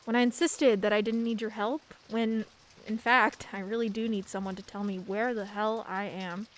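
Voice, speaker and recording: A woman's voice: mid-range voice, steady tone, normal speed, slight background noise, close to an everyday voice, low-quality recording. A young woman playing a shy, bookish teenage girl in a radio play, hesitant and dryly self-mocking. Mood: wry.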